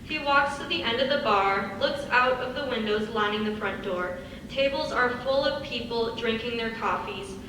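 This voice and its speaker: A woman's voice: a little high, normal speed, pushed and bright. A woman reading stage directions as scripted narration. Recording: echoey hall, far from mic, faint noise.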